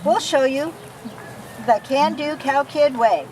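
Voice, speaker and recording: A woman's voice: high voice, slow pace, darkish tone. A woman reading a children's picture book aloud expressively, as if to young listeners. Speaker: storybook reader. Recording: noisy recording, distant from the mic.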